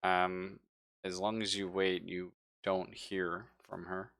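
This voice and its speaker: Low, bright-voiced male game master. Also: little pitch movement, takes it slowly. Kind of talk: improvised tabletop game narration and casual banter.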